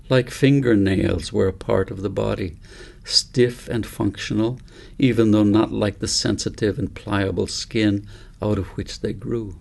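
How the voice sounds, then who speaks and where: A man reads a story aloud as an audiobook narrator. His voice sits fairly low, with a very gravelly, creaky edge. He phrases things gently and deliberately, in a measured storytelling manner. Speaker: author reading own book aloud.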